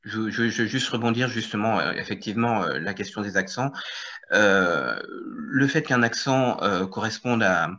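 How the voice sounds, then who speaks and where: Man, panel guest, firm, measured, lowish, bright, lo-fi call. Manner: measured, firm, with pauses and fillers. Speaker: screenwriter and director, panel guest. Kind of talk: online panel discussion.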